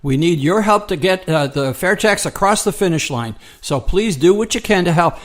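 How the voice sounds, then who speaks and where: A man's voice: high-pitched, lively intonation, moderate pace. An American man co-hosting a political talk radio show, speaking conversationally and earnestly, often circling back over his phrases.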